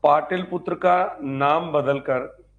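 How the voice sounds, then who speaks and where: A man's voice: fairly high pitch, slow, phone-quality sound. A man giving an informal online history lecture as a teacher, repeating key points and asking check questions. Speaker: history teacher for exam preparation.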